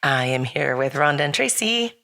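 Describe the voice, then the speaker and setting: A middle-aged woman hosting a podcast in casual chat, her voice a bit raspy after being sick, rambling with fillers and restarts. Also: low voice, expressive, normal speed, sharp tone. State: slightly raspy from recent illness. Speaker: podcast host.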